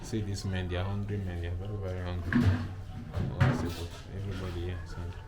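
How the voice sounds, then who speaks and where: A man's voice: sits low, little pitch movement, brisk, very noisy. A man in his thirties with a Nigerian accent, vlogging with playful jokes and bits of pidgin, filmed at arm's length outdoors. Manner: jokey, playful, mixes in pidgin. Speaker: vlogger host. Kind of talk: casual vlog narration. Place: outdoor village compound and smoky kitchen. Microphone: camera held at arm's length.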